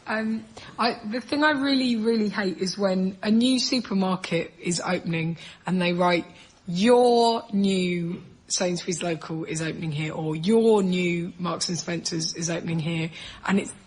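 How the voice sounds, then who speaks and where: A woman's voice: fairly low pitch, a little slow, breathy edge, poor audio. A British woman guest on a comedy panel show, going off on a rambling, exasperated conversational rant.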